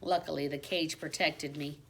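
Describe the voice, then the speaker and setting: A woman reading a children's book aloud like a teacher, with expressive storybook narration and dramatic emphasis. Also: deep voice, moderate pace. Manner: dramatic emphasis on exciting moments.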